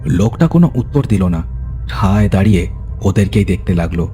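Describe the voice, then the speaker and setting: A man's voice: deep voice, lively intonation, fairly quick, warm tone, soft background music. A man narrating a horror story in a dramatic, scripted storytelling style.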